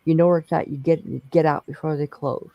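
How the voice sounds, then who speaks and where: A man's voice: fairly high pitch, steady tone, fast, gravelly, no room sound, dull audio. A man chatting casually on a bingo stream, rambling through stories with restarts. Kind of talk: casual chat.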